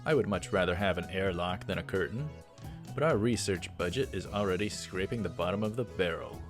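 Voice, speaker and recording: A man's voice: low-pitched, brisk, over music, lightly acted. A man reading a visual novel aloud, voicing a grandiose young mad scientist in a pompous, theatrical manner. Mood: proud, declaiming.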